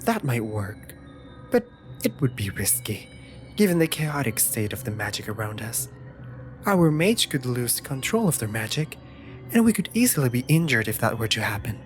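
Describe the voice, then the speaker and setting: A man's voice: medium pitch, sing-song, normal speed, faint music behind, lightly acted. A man voicing a teasing, dramatic raven familiar in a scripted fantasy audio roleplay, with playful, sardonic and theatrically indignant delivery.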